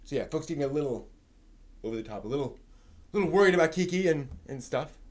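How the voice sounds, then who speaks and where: Low-key man muttering on a live stream. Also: animated, quick pace. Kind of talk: casual live stream chat.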